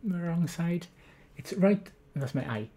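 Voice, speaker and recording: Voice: medium pitch, animated, unhurried, mellow. Chatty Welsh streamer with a Swansea accent. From Wales. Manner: chatty, self-deprecating, reads chat aloud. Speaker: live streamer. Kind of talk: casual live-stream chat.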